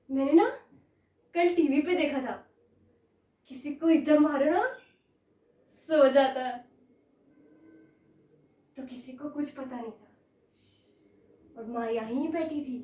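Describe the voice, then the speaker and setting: A woman playing a disturbed, innocently confused girl in a dramatic monologue, with a childlike, plaintive, repetitive delivery. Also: sits high. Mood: eerily pleased. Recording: echoey room, distant microphone.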